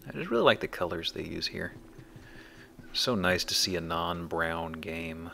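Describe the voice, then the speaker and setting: A man's voice: sits low, lively intonation, unhurried, vocal fry, pushed and bright. A man hosting a let's play with casual, folksy, rambling commentary on the game. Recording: commentary over faint game background noise.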